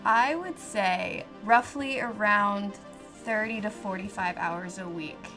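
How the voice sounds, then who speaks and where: A woman's voice: medium pitch, slow. A young woman, an animation student, talking reflectively in a documentary-style interview. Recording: music behind the voice. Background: music.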